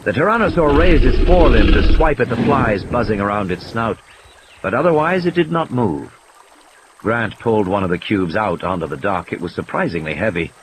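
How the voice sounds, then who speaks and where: Male narrator for an adventure audio play, lively pitch range, faint background noise and ambience. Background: ambience and sound effects.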